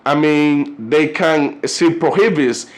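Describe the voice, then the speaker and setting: A man from Colombia with a Colombian Spanish accent, a native Spanish speaker talking casually to camera as a vlogger, rambling with lots of fillers and stumbling when he reads aloud. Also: fairly high pitch. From Cartagena, Colombia. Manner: loose, rambling, constant "you know" and "like" fillers; halting when reading aloud. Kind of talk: casual video chat.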